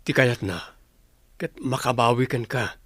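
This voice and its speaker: A man's voice: mid-range voice, measured pace, fairly bright tone, husky, no room sound. A man playing a soothing, earnest father and husband in a radio drama. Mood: earnest, reassuring. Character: gentle, apologetic poor father and husband.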